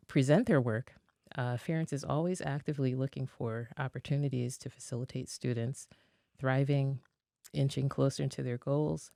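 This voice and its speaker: A podcast host reading a guest's bio aloud with hesitant pauses and self-corrections, then starting the interview. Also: medium pitch, gravelly, dark tone, dry recording, studio quality.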